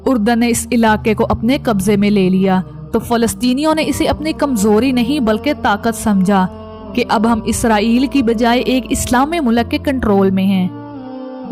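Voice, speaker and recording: Woman, high-pitched voice, formal scripted narration, news-report delivery, music clearly behind. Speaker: narrator and channel presenter.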